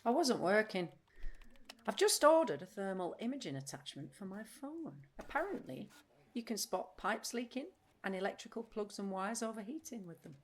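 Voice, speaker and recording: A woman's voice: medium pitch, very animated, moderate pace, slight background noise, close to an everyday voice. A woman acting in an audio play as a restless, literal-minded, blunt adult woman with a matter-of-fact way of talking. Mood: distracted, factual. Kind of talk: audio drama dialogue.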